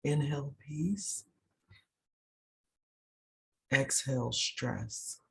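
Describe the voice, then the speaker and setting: A person leading a guided meditation in a soothing, unhurried way, pausing between short phrases. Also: mid-range voice, gravelly, slightly rough. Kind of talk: guided meditation, slow instructions.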